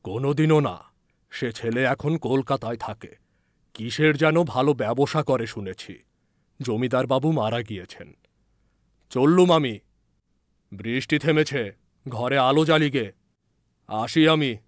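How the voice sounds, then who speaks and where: Male narrator as devoted country healer: very slow, earnest, mid-pitched, audio ghost story. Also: dry recording, studio quality, close to an everyday voice. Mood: matter-of-fact. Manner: pleading, earnest, deliberate. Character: earnest, devoted village physician recounting a ghost encounter. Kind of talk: dramatised storytelling.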